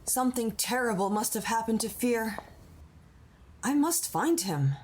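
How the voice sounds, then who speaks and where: A woman's voice: on the high side, lively intonation, unhurried, noisy background. A woman playing a powerful, devoted moon being, earnest and persuasive, in an audio drama. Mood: concerned. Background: music under the voice.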